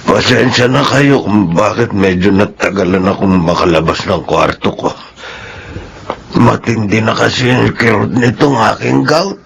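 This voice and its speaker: A man acting an ailing elderly father and businessman in a Filipino radio drama, patient but firm, with a gravelly voice. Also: lowish voice, a little slow, a bit bright, slight background noise. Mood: apologetic, kindly. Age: older.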